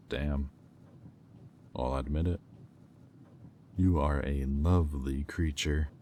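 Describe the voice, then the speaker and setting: A man playing a smug, predatory demon in a roleplay audio drama, teasing and taunting in a silky manner with deliberate pauses. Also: rumbling low, warm tone, slight background noise, full character voice. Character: smug, predatory male demon toying with a captive angel.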